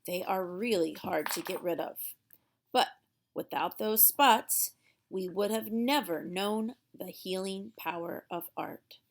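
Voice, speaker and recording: Female children's read-aloud narrator, unhurried and theatrical, mid-range voice, stretches key words for emphasis. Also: medium pitch, creaky. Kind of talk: expressive storybook narration for young children.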